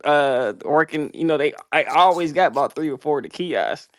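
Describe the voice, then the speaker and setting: A man's voice: fairly high pitch, animated, normal speed, no echo, studio quality. A man from Atlanta with a Southern accent, co-hosting a podcast in a laid-back casual chat full of heavy slang. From Atlanta, Georgia. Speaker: podcast co-host.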